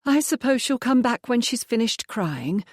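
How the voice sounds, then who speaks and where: A gossipy young lady with a sharp, prying manner, pitched higher and louder than the narrator's own voice, as a woman reader puts her on. She needles and teases, and the recording is dry. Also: sing-song, normal speed, dry recording. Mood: brisk. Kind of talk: audiobook dialogue.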